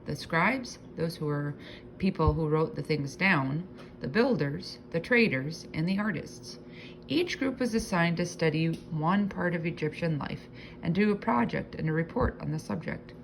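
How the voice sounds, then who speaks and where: A woman narrates a children's storybook aloud. Her voice sits a little low and has noticeable fry, and she reads expressively, drawing out key words. There is faint noise in the recording. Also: animated, normal speed, rough recording. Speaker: storybook reader.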